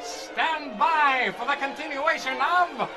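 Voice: high voice, slow, music in the background. A performer playing a bombastic, melodramatic narrator in an old-time radio serial parody. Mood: melodramatic alarm. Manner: melodramatic, grandiose.

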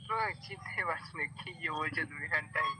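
A man's voice: a little high, quick pace. A man taking a prank phone call, puzzled and asking who is calling. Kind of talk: confused phone conversation. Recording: distant phone line with background noise.

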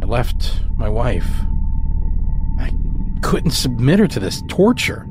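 A man narrates as the host of a horror podcast, dramatic and deliberate. His pace is slow and his pitch moves expressively, with clear music playing behind him. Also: big pitch swings. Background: music score.

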